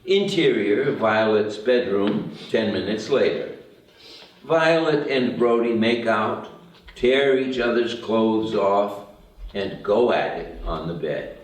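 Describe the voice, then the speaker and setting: A man's voice: on the low side, slow pace. A man reading out stage directions in scripted narration at a table read. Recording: very echoey room, distant mic.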